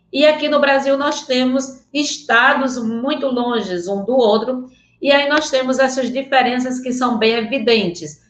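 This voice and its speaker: A woman's voice: fairly high pitch, a bit bright, echoey room. A woman teaching a Portuguese class online in a Northeastern Brazilian accent, speaking earnestly and often checking in with her students. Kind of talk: online class lecture with slides.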